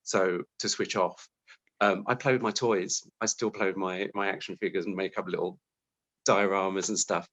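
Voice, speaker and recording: Male interview guest, British, on the lower side in pitch, conversational and modest about himself. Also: steady tone, moderate pace, fairly bright tone.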